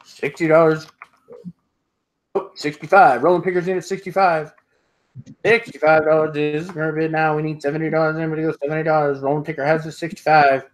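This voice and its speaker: A middle-aged man hosts a live online auction from his home, calling out bids in a steady, repetitive rhythm. His voice sits slightly high for a man. He laughs often between calls, and the sound comes through an earbud headset on a video call. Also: brisk. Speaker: auctioneer host. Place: home room with sports memorabilia.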